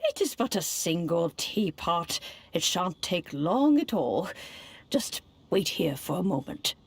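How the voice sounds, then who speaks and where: Slow, lilting, creaky elderly granny. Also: female voice, on the low side, animated, exaggerated voice. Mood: gentle, helpful. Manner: old-fashioned, unhurried, sighing phrasing. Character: gentle, elderly adeptus granny, fond but chiding. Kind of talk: video game voice acting.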